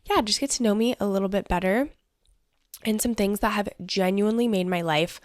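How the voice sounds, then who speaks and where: A woman's voice: mid-range voice, fairly quick, no echo, studio quality. A young woman hosting a casual solo podcast, chatty and upbeat, talking with a stuffy nose from allergies. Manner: bubbly, chatty, fillers like "like" and "honestly". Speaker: podcast host.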